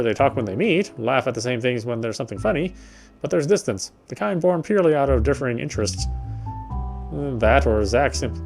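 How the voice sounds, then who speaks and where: A man's voice: mid-range voice, a little mellow. A man reading visual novel text aloud as he plays, stumbling and rereading now and then with casual asides. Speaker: game streamer reading aloud.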